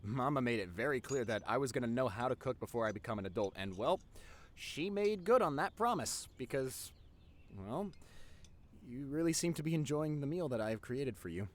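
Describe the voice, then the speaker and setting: Man playing a goofy nerdy boyfriend, animated voice. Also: mid-range voice, moderate pace, close to an everyday voice. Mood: tender, grateful. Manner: teasing, stammering when flustered. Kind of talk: intimate boyfriend audio roleplay.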